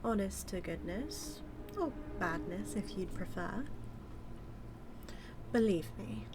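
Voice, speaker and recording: A woman's voice: mid-range voice, expressive, noisy background. A woman with a British accent acting as a smug female supervillain who mocks and teases her hero nemesis in a theatrical, drawling audio roleplay monologue. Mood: exasperated, wry. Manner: mocking, theatrical, drawling with drawn-out words.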